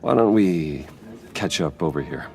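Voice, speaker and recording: A guarded, composed man in a video game. The voice sits very low, measured and terse, with a stern restraint. Music plays clearly behind it. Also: lively intonation, full character voice. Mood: wary.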